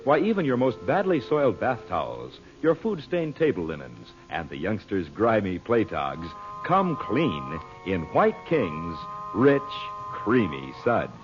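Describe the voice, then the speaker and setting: A man's voice: lowish voice, wildly swooping pitch, unhurried, few pauses. A man announcing and reading a sponsor's advertisement on old-time radio in an emphatic, salesmanlike way. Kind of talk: 1940s radio advertising read. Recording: muffled old radio recording with music.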